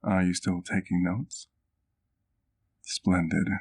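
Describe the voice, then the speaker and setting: A man playing a doting, wistful vampire doctor in an ASMR roleplay, telling his story gently in formal, literary language. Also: low-pitched, fairly even intonation, slow pace, gravelly, exaggerated voice. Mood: doting, gentle.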